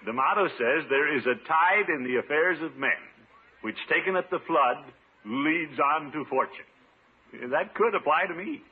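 Brief: pompous middle-aged blowhard official, very animated delivery, theatrical 1950s radio comedy, muffled noisy old recording. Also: male voice, on the high side, normal speed, breathy edge. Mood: grandiose. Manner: blustery, self-satisfied, theatrical, chuckling. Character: pompous, self-important middle-aged uncle and small-town official.

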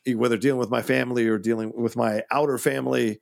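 A man co-hosting a film podcast, talking in an animated, emphatic way with quick agreeing interjections. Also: mid-range voice, vocal fry. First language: English, grew up with Spanish too. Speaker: podcast co-host. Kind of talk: casual film discussion.

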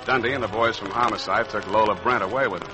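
An actor playing a wry, cool, hard-boiled private detective with slangy delivery, in 1940s radio drama style. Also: male voice, fairly low pitch, fast talker, over music, natural voice, poor audio. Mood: wry narration. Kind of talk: 1940s radio acting, noir narration.

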